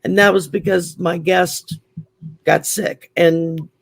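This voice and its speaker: A man with a US Southern accent talks in a relaxed, chatty way, sharing stories as a guest. His voice is growly and heavily gravelly, pitched on the higher side for a man, with a husky, raspy edge. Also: slow pace, no echo, poor audio. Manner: chatty, open, storytelling. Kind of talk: casual chat.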